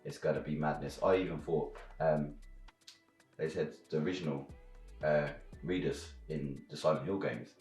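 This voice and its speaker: Super low british bloke chatting reviews, informal slangy, roomy echo. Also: male voice. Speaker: film and game reviewer. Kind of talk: casual opinionated discussion.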